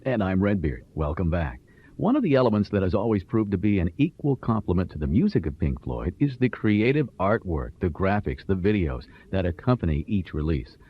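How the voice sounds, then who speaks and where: Husky, lively radio host. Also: male voice, low voice, fairly quick. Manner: polished announcer delivery. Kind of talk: scripted radio presenting. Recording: dull lo-fi radio with faint music.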